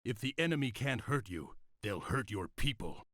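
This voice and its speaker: An actor playing a hot-headed samurai warrior with a forceful, urgent manner in a video game cutscene. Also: male voice, mid-range voice, expressive, normal speed, no echo, studio quality. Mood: pleading.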